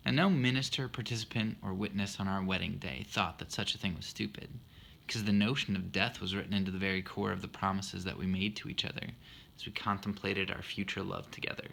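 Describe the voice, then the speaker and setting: A man in his thirties talks through a poem he wrote, earnest and reflective with a self-deprecating streak. His voice sits a little low with a gravelly fry, yet carries a bright, forward edge. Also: steady tone, brisk, a little hiss or hum. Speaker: husband explaining his poem. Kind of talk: reflective spoken monologue.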